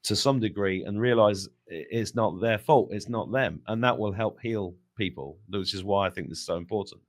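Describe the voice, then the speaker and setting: Low-voiced man in conversation. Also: normal speed. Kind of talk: casual conversation.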